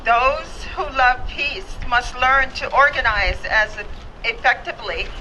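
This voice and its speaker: A woman reading a quotation aloud slowly. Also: on the high side, bright, forceful tone. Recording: dull sound with clear background noise.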